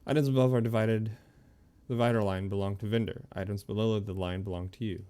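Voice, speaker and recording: A man streaming a game with low-key, musing commentary, in a casual live style. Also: fairly even intonation, fast talker, warm tone. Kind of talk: casual live gameplay commentary, sometimes reading game text aloud. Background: faint game music.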